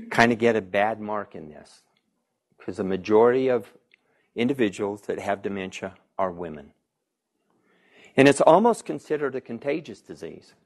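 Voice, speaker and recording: A man, a physician, giving a conversational public health talk, repeating audience questions and asking the room rhetorical questions. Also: lowish voice.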